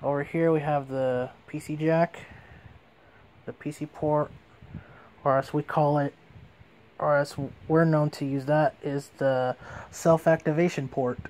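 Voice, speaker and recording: A man's voice: a little high, darkish tone, slight background noise. A man giving a casual hands-on product demonstration as a reviewer.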